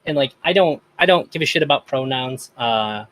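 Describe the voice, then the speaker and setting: A man's voice: mid-range voice, animated, normal speed, fairly bright tone. Host, a man with a voice that often reads as feminine, talking hesitantly in a middle register. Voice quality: voice that strangers hear as feminine. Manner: hesitant, with many fillers. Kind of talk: casual discussion.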